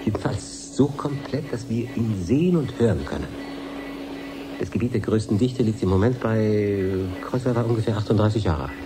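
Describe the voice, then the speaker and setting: An actor playing a matter-of-fact professor in a radio drama, explaining precisely and with self-assurance. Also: male voice, lowish voice, moderate pace, music in the background, natural voice. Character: matter-of-fact male professor running an experiment. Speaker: scientist. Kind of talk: 1980s German radio drama.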